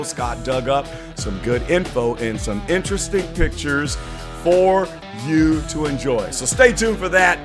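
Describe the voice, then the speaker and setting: A hoarse man hosting a sports vlog, arguing his point with emphatic, call-out energy. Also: a little high. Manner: emphatic, preachy, calls people out. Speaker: channel host. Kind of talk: fired-up sports opinion vlog.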